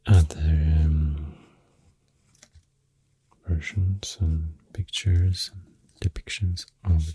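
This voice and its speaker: A woman with a Swedish accent doing soft-spoken ASMR, hushed and halting, with a deep, breathy, often whispery voice close to the mic. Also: no room sound. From Sweden. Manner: slow, hushed, halting with long pauses. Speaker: ASMR host. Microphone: close microphone. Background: soft pencil and clicking sounds.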